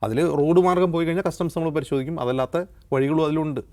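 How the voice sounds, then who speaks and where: A man's voice: fairly high pitch, fast talker, darkish tone. A man with a Kerala accent speaking as a news panelist, explaining current affairs in detail. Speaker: news anchor and panelist on a news discussion show.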